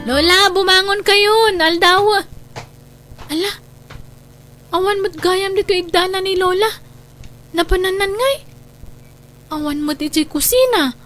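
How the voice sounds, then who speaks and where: A woman's voice: extremely high pitch, measured pace, pauses often, fairly bright tone, soft background music. A woman playing a caring, protective young granddaughter in a radio drama, earnest and pleading. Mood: concerned. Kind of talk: Ilocano radio drama acting.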